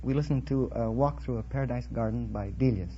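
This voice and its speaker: A man's voice: medium pitch, fairly quick, dark tone. A man answering as a quiz show contestant in a formal, wordy, precise manner. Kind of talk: interview answers on a radio quiz. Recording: muffled, noisy vintage radio recording.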